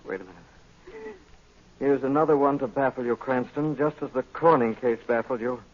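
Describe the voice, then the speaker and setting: An American man playing a determined, grave gentleman crime-fighter in a 1940s radio drama. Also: medium pitch, moderate pace, natural voice. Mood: tense, grave. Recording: noisy muffled 1940s radio recording.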